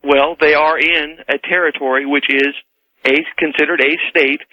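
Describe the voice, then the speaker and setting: An American man debating as a caller on a radio show, formal and emphatic but halting, heard over the telephone. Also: fairly high pitch, steady tone, sharp tone, poor audio. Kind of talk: live phone-in debate.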